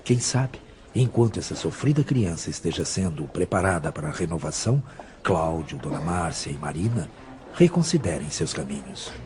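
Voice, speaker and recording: An actor playing a wise, humble spirit mentor in an audio drama, speaking gently and with measured, instructive patience. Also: male voice, low voice, big pitch swings, over music. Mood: solemn, consoling.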